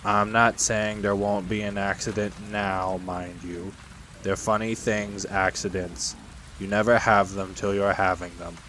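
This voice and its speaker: A man acts out an old donkey from a children's storybook who expects the worst. He drawls very slowly in a low register. The delivery is dreary and deadpan, with dry sarcasm. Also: a little hiss or hum. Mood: morose. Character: gloomy old male donkey, dryly sarcastic pessimist.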